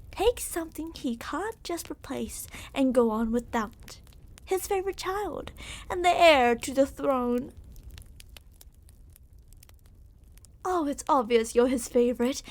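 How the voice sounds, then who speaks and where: High-voiced, smug yandere royal captor played by a woman. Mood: smug, teasing. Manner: condescending, theatrical, mock-affectionate. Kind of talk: ASMR roleplay acting.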